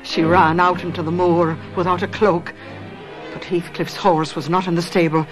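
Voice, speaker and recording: An actress playing a sensible, plain-spoken housekeeper who narrates a radio drama, measured and matter-of-fact. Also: female voice, on the low side, level tone, faint music behind, lightly acted. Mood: probing. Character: sensible, plain-spoken housekeeper and confidante, older than her mistress. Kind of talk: radio drama acting and storytelling.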